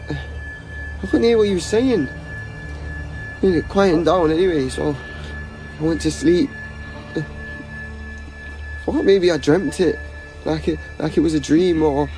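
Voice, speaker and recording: An actor playing a distraught, betrayed teenage son in a naturalistic radio drama, halting and emotionally raw. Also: male voice, on the high side, dark tone, low-quality recording.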